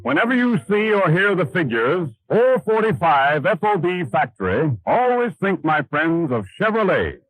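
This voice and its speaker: A man's voice: high-pitched, big pitch swings, normal speed, hardly any pauses, fairly bright tone, low-quality recording. An American man reading a scripted 1930s radio advertisement with an emphatic, polished sales manner. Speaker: radio announcer. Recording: 1930s radio broadcast, dry.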